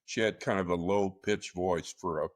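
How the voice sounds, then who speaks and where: Low-voiced guy retelling a crime case on a podcast, halting, lots of uh and restarts. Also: fairly even intonation. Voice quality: low-pitched voice. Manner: measured, halting retelling with frequent fillers and restarts. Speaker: podcast host. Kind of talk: true crime storytelling.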